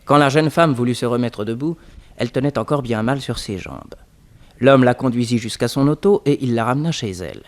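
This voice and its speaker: Medium-pitched male radio serial narrator. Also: a little fast. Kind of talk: scripted narration in 1960s French radio serial.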